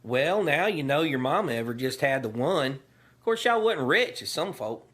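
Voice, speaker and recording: An actor playing a cheerful, dim-witted, scheming cowboy outlaw with a folksy rural Western accent in a comedy audio drama. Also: male voice, on the high side, very animated, moderate pace, a bit bright, breathy edge, full character voice. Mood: smugly cheerful. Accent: rural American West. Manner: folksy, mangled homespun sayings.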